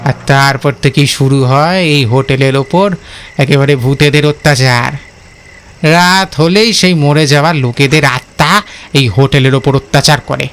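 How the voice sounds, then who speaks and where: A man's voice: mid-range voice, moderate pace, fairly bright tone, lightly acted. A man playing a protective village van driver in a horror cartoon, talking in a colloquial, admonishing way. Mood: knowing, explanatory. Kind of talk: Bengali horror cartoon voice acting.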